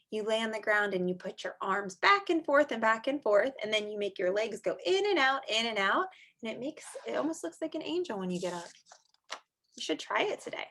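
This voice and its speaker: Expressive high-voiced woman reading a storybook aloud. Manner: expressive, drawn-out storybook reading with chatty asides. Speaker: teacher reading aloud to young children. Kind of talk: read-aloud storytime with questions to children.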